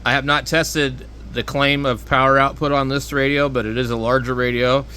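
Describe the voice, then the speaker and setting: Rambling man over clear background noise. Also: mid-range voice, little pitch movement, sharp tone. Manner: rambling, halting, plainspoken. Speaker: hobbyist product reviewer. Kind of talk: unscripted, casual radio gear review.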